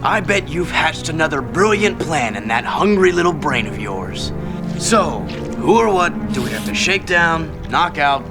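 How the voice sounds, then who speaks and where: Blunt, lightly pitched young hero. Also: male voice, very animated, unhurried, over loud music. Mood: wry, impatient. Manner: blunt, challenging. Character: tough, blunt young male hero, suspicious and stern. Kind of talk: video game cutscene acting.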